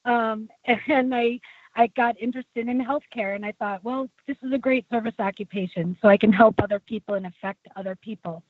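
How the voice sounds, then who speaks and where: A woman's voice: fairly even intonation, normal speed, no echo. Woman guest on a tinny phone line. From United States. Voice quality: low voice after a tracheotomy. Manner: chatty, many fillers and restarts. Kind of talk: casual interview talk.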